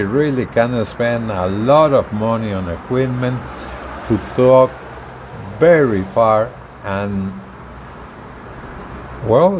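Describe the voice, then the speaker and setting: A man giving a casual product demonstration as a video presenter, talking haltingly and repeating words as he explains. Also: mid-range voice, noisy background. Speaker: hobbyist video presenter, truck driver.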